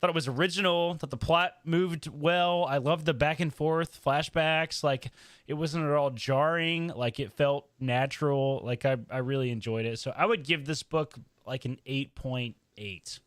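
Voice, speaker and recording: A man's voice: fairly high pitch, moderate pace, fairly bright tone, no room sound, clean studio sound. A man on a podcast panel giving a casual, informal review peppered with "like".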